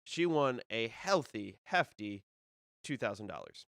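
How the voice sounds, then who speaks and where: A young man talks on his own as the host of a casual show, rambling and restarting his sentences. He sounds a bit nasal from a cold. His voice is smooth and resonant, with a dry, close sound and no room echo. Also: mid-range voice, big pitch swings, measured pace, a bit bright. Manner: rambling, with many fillers and restarts. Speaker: disc golf podcast host. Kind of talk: casual solo live-stream talk.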